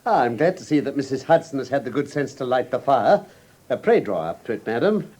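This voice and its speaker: A man's voice: mid-range voice, sing-song, brisk, darkish tone, husky. A man with an English received pronunciation accent playing a courteous, self-assured detective, formal and precise, in a classic radio drama.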